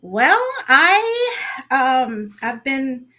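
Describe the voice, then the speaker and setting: A woman in her thirties from Cameroon, a lawyer guest in a studio, talking conversationally with many pauses and self-corrections. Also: high-pitched, sing-song, sharp tone. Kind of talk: interview answers.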